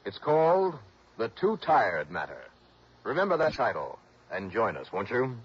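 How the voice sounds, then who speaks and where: A man playing a tough, wry, steady insurance investigator in a 1960s radio drama. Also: lowish voice, moderate pace, husky, heavily acted. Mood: wry, businesslike. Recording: muffled old radio recording. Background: music.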